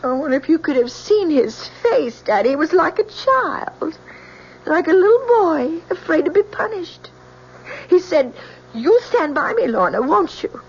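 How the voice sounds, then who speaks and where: Fretful young fiancée, high and highly animated, emotional old radio drama, muffled recording. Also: female voice, a little slow, vocal fry, heavily acted. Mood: uneasy, pleading. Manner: breathless, emotional, pleading. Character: anxious, pleading young bride-to-be, devoted daughter. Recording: dull old radio recording, faint noise.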